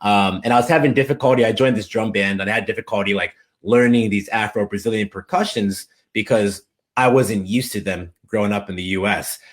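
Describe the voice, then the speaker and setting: A man being interviewed as a guest, telling stories in a casual, enthusiastic way. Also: fairly low pitch. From United States. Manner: animated, enthusiastic storyteller. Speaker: interview guest, language coach.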